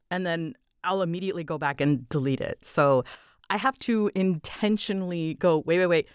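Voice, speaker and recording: A woman with a slightly low voice rambles through a casual Q&A. She is dramatic and scattered, poking fun at herself as her delivery swoops up and down. The sound is close and dry, as from a small closet. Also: no room sound. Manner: scattered, self-deprecating, giggly. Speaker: ASMR audio creator and voice performer. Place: small closet used for recording.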